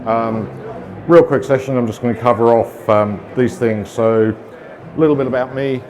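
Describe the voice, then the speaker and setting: A man's voice: medium pitch, fairly even intonation, moderate pace, darkish tone. A middle-aged man from the UK with a British accent, giving a casual, self-deprecating technical conference talk full of ums and uhs. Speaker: conference speaker. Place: conference room with audience.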